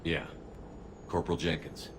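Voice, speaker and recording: A man's voice: normal speed, noisy background, lightly acted. An actor playing a hardened male human commander, giving clipped, matter-of-fact orders in a game cutscene. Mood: steady. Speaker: military commander. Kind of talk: video game cutscene acting.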